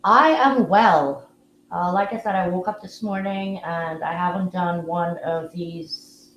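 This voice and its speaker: Relaxed, musing woman hosting a live audio chat. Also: lowish voice, slow pace, reverberant, a little hiss or hum, rough recording. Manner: relaxed, musing, with frequent fillers. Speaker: host of a live audio room. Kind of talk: casual live-stream chat.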